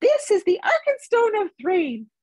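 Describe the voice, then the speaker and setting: A woman reading a storybook, playing a small, fussy, polite male hobbit, nervous but plucky, with a squeaky voice and chatty, hedging manner. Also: wildly swooping pitch, measured pace, a little mellow, dry recording, studio quality, heavily acted. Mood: nervous, sincere.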